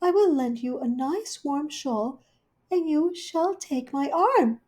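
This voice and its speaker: A woman's voice: sits high, expressive, slow pace, voice pushed up. A woman doing a prim, fussing lady cat. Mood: solicitous. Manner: solicitous and prim, sharp when annoyed. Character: fussy, kindly female cat hostess, quick-tempered.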